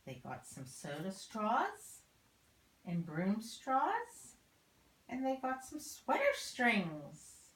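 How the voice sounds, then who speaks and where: An older woman reading a children's story expressively, as a grandmother would, deliberately and with drawn-out emphasis on key words. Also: a little high, huge pitch swings, a bit bright. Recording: roomy home recording, faint noise.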